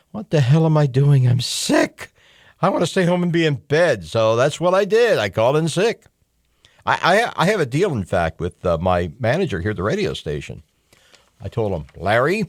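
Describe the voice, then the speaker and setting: A middle-aged male radio host lectures his listeners in a blunt, slightly profane way, stumbling through fillers and restarts. His pitch rises and falls in an animated way. The studio mic sounds close and dry. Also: no echo. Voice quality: blunt, mildly profane, conversational. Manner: lively, hectoring, with frequent fillers and restarts. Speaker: radio talk show host. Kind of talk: call-in talk radio monologue. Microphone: studio microphone.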